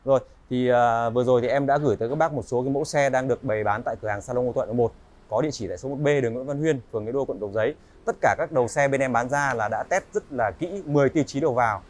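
A man in his thirties from Vietnam giving a polite, chatty walk-around sales pitch in a large indoor car showroom, with frequent filler pauses.

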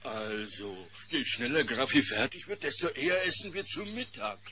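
An actor playing a greedy but good-natured young bear in a cartoon radio play, earnest and wheedling. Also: male voice, medium pitch, big pitch swings, normal speed, a crowd in the background, lightly acted. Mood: eager.

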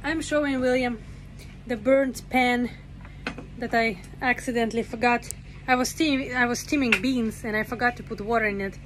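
Lively young woman vlogging aboard a boat. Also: a little high, normal speed, background noise. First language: not English. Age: young adult. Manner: lively, playful, talks to camera. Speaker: vlog host. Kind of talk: casual vlog chat. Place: cabin of a small boat. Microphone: phone held at arm's length.

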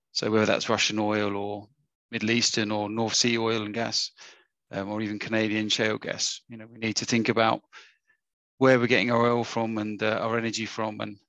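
A man with a husky, gravelly voice welcomes people to an online talk, pausing often with fillers and restarting his sentences. His tone is bright and fairly flat in pitch, and the sound is dry and close, as if on a call. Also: no echo, poor audio. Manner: hesitant, many fillers and restarts. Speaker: webinar host. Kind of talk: casual webinar welcome. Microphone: webcam or headset on a video call.

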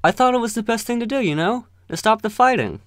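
A man's voice: sits high, lively intonation, quick pace, no echo, studio quality, voice pushed up. A man as an earnest, swooping young puppet. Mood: frantic. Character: earnest, anxious young peace-making sock puppet.

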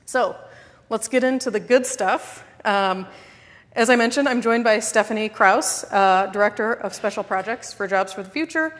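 A woman in her thirties speaks from a podium microphone, opening a conference panel at a mid pitch with occasional fillers. A long hall reverb trails her words. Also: moderate pace, a bit bright. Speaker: moderator. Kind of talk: conference presentation. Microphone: podium mic.

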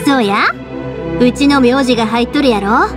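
An actress playing a cheerful young fireworks-shop owner with a Kansai accent, lively and chatty. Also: female voice, a little high, moderate pace, natural voice. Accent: Kansai Japanese. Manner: lively, chatty, warm teasing. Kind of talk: game voice acting. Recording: game audio with music behind.

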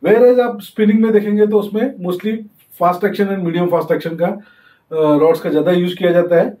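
A young man teaching fishing as he shows the gear, switching easily to English terms. His voice sits high but sounds mellow and soft-edged. He is recorded from some distance in an echoey space. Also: steady tone, a little slow. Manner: explains while demonstrating, mixing in English terms. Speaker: fishing channel host. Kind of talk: casual tutorial demonstration.

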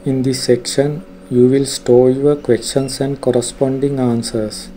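A man reading scripted tutorial narration in a formal, measured, instructional way. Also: mid-range voice, little pitch movement, warm tone, a little hiss or hum. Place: voice-over for a screen recording.

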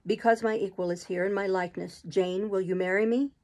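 A woman voicing a brooding, imperious gentleman in love, speaking in urgent, commanding declarations for a storybook. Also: sits high, fairly even intonation, a little fast. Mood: intense, insistent.

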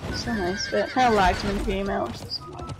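A woman's voice: medium pitch, fairly even intonation, quick pace. A young woman chatting casually on voice chat, rating things with lots of fillers and giggles. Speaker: channel host rating horses. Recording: faint game music behind.

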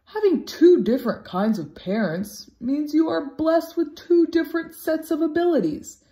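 A woman's voice: sits high, lively intonation, normal speed, dark tone, pitched above the performer's own voice. A woman voicing a caring, encouraging parent dog in a children's storybook, reassuring a pup. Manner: encouraging, comforting. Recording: roomy home recording.

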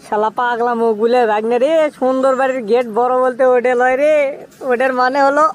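A man voicing a cheeky young village friend in a comic cartoon, teasing and glib, with a Bogura accent and a pitched-up effect. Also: little pitch movement, brisk. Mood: glib persuading. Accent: Bogura, northern Bangladesh. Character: cheeky young village buddy, teasing self-styled matchmaker.

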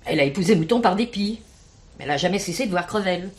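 Radio drama: actress as knowing, insinuating female witness, colloquial and indignant, slightly deep voice. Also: lively intonation, quick pace, a little mellow, natural voice. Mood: scornful, knowing. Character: plain-spoken working-class woman, gossipy, indignant witness. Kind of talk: French radio play acting.

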